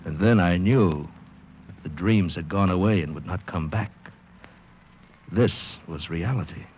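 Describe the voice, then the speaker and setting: Deep-voiced, dazed amnesiac man, vintage radio. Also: a little slow, darkish tone, dull audio. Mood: dazed, reflective. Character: bewildered amnesiac American man recovering in a hospital. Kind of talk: 1940s radio acting, first-person narration and dialogue. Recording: noisy old radio drama recording.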